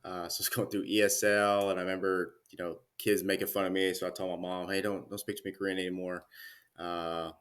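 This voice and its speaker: A man in his thirties, a guest chatting casually in an interview, relaxed with many fillers and restarts. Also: low-pitched, fairly quick, clear, resonant tone. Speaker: Army career manager guest.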